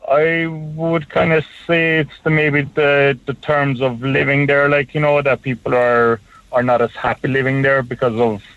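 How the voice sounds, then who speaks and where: A man's voice: medium pitch, level tone, normal speed, no echo. A man from Hungary calling into a radio show, rambling and full of "like, you know" fillers. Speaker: caller. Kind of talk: phone-in call. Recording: tinny phone line.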